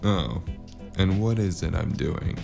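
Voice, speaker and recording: An actor playing a weary, wry, hard-boiled cyberpunk hired gun, narrating and talking in a dry deadpan in a noir audio drama. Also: male voice, deep voice, takes it slowly, creaky, exaggerated voice. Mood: guarded, deadpan. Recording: guitar music behind the voice. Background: plucked guitar music.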